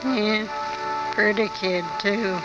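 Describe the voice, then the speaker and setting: A woman acts as a jaded, unsentimental hotel keeper in a 1940s radio drama. She talks extremely slowly in an offhand, sardonic drawl at medium pitch. The old recording is dull, with music behind her. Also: steady tone, natural voice. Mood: weary, sardonic.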